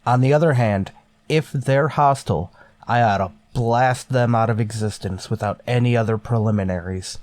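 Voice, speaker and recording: A man playing a stern, wary starship captain in a sci-fi radio drama, giving curt orders with measured authority. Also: medium pitch, darkish tone, no room sound, lightly acted. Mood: grave, deliberating. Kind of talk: golden age sci-fi radio drama acting.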